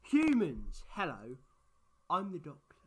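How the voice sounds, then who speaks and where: A man's voice: on the high side, wildly swooping pitch, slow pace, breathy edge, heavily acted. A man playing an eccentric, playful time-travelling alien in a fan audio drama, rambling and boastful with whimsy. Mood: cheerful, rambling. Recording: noisy track with background ambience.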